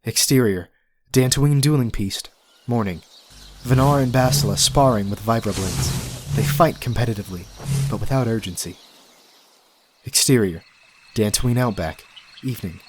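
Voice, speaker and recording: A man's voice: mid-range voice, normal speed, long pauses. A man reading scripted scene headings and action as the narrator of an audio drama, with faint noise behind him. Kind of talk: scripted narration of screenplay directions. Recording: faint background noise and ambience.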